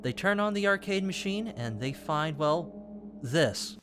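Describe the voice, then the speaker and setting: Young male video essay host, fairly high animated voice, wry casual asides, music bed underneath. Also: a little slow. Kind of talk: scripted narration with casual asides.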